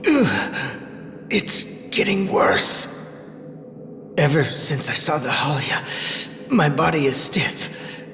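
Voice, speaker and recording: Give me a weary, dutiful warrior for a game. He speaks very slowly and haltingly, strained, in long echoing reverb. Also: fairly high pitch, lively intonation, pushed and bright, full character voice. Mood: pained resolve. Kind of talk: dramatic video game voice acting. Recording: echoing hall-like reverb, faint music.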